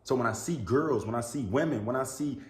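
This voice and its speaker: A man's voice: mid-range voice, a little fast. Earnest man in an echoey room. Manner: earnest and preachy, repeats "you know what I'm saying" and "bro". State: sneezing, a bit sick. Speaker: YouTuber. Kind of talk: casual talk to camera. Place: indoors.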